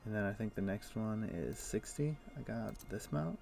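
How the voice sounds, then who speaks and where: A man's voice: fairly low pitch, normal speed, gravelly, music in the background. A man streaming a game, chatting casually and explaining things in a loose, rambling way full of fillers. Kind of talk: casual chat while playing.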